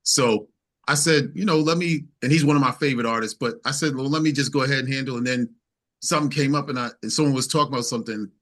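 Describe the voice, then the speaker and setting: A man's voice: mid-range voice, fast, a bit bright. A man speaking as an interview guest and singer-songwriter, telling stories in casual, informal, easygoing chat.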